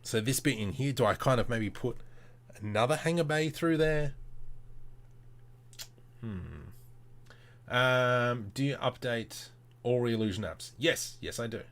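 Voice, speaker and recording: A man's voice: medium pitch, measured pace, long pauses, a bit bright. A man hosting a casual livestream, thinking aloud as he works, with informal and playful muttering to himself. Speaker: livestream host demonstrating 3D modelling.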